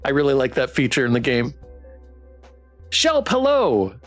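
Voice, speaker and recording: A game streamer chatting through a live playthrough in a playful, expressive way. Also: medium pitch, unhurried, faint music behind. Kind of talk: live visual novel playthrough, reading aloud and chatting.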